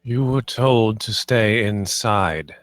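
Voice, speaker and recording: A man's voice: fairly low pitch, slow pace. A man playing a stern, curt lord of a manor in a screenplay read, terse and commanding. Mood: stern, guarded.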